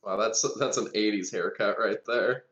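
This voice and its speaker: A man's voice: lowish voice, fairly even intonation, normal speed, a bit bright. A man playing a tabletop game, chatting casually over a dull, lo-fi connection. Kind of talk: casual chat during a game.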